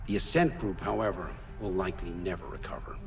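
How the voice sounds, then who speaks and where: Calm businesslike henchman giving a report in a game, big echoey hall, faint music. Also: deep voice, quick pace, creaky. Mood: composed, businesslike. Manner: formal, clipped, businesslike. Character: composed, deferential male corporate subordinate giving a report. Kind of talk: scripted video game dialogue. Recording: long hall reverb.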